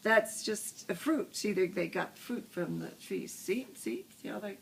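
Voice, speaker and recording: A woman's voice: mid-range voice, lively intonation, unhurried, gravelly, very noisy. An older woman, a grandmother, reading a picture book aloud expressively to a small child and patiently chatting in asides.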